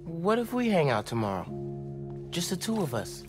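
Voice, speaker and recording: Teenage boy superhero, fairly high lively voice, earnest, awkward, hesitant, game cutscene, music behind. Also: normal speed. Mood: awkward, gentle. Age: young adult. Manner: hesitant, stammering when flustered. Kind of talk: naturalistic video game acting.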